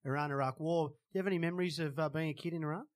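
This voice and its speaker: A man's voice: fairly high pitch, steady tone, very fast. A man asking casual interview questions as a co-host.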